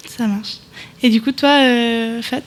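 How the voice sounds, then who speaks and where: A young woman hosting a live student radio interview, asking questions in a casual, brisk way. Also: fairly high pitch, fairly even intonation. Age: young adult. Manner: casual, brisk, laughs along. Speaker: host.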